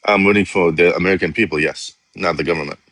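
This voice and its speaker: A man's voice: sits low, fast talker, fairly bright tone, no room sound. A man from Iraq arguing politics as a guest on a live stream, talking over the host and laughing mid-sentence. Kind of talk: heated political debate.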